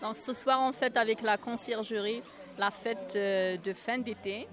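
A woman's voice: on the high side, a little slow. A woman from Kabylie whose first language is Berber, answering interview questions with many hesitations at an outdoor neighbourhood party. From Kabylie, Algeria. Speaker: resident and event volunteer. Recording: outdoor party with music and crowd.

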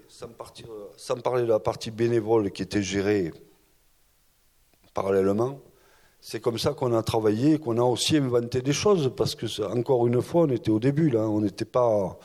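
A man who organized a festival, recalling its early years in a halting, hesitant way before a gathering. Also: mid-range voice, a little mellow. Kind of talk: recollections before an audience.

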